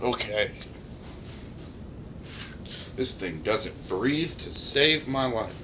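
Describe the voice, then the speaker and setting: A man talking casually as a game streamer, in an informal, halting way, often stopping to rephrase. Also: mid-range voice, animated, pushed and bright, a little hiss or hum.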